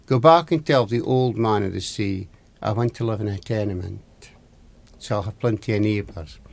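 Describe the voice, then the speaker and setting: A man's voice: fairly low pitch, moderate pace, gravelly. A man voicing a fisherman's grumbling, never-satisfied wife in a folktale, with a Scottish accent and an emphatic, complaining manner. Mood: discontented. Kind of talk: oral folktale storytelling.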